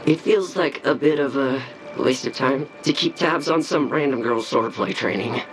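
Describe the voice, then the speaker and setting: A man's voice: medium pitch, moderate pace, a bit bright, a little hiss or hum, close to an everyday voice, poor audio. A man voicing a reluctant, bored corporate underling, deferential but grumbling, in an anime-style game. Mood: reluctant, dutiful.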